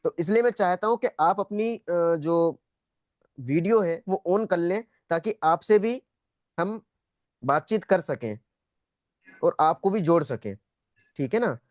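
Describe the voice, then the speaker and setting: A man's voice: high voice, lively intonation, measured pace, long pauses, darkish tone, dry recording. A man teaching an online spiritual class in a conversational, repetitive way, putting questions to students and often checking that they follow. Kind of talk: interactive lecture, questioning students. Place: online video call.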